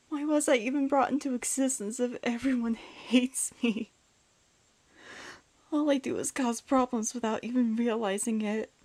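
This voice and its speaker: A woman's voice: fairly high pitch, darkish tone. A woman acting a bitter, despondent outcast in a comic dub, guarded, sullen and dismissive. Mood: despairing.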